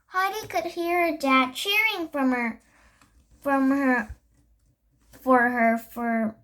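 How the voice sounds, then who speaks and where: High young voice, child reader, slow pace, halting storybook read-aloud, self-correcting. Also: a bit bright. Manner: halting, stumbles and corrects words.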